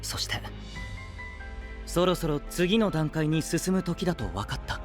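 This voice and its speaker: An actor playing a gentle, idealistic young knight who leads an alliance, speaking softly and earnestly in anime audio drama style. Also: male voice, fairly high pitch, lively intonation, over music. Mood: earnest, resolved. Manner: soft-spoken, earnest, measured.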